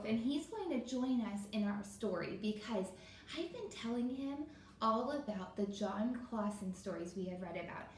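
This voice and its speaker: A woman with a fairly high voice speaks as an elementary teacher guiding young children through a read-aloud. She is encouraging and often asks them questions directly. The room rings with noticeable echo, and there is slight background noise. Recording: echoey room with faint noise.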